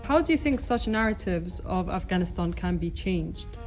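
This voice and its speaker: A woman's voice: lowish voice, expressive, moderate pace, darkish tone. A woman interviewer putting short questions to participants. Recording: music behind the voice. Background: background music.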